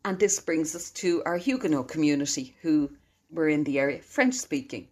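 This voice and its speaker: A woman's voice: low voice, lively intonation, normal speed. A woman with a Dublin accent, a local historian explaining place names in a chatty radio interview, laughing now and then.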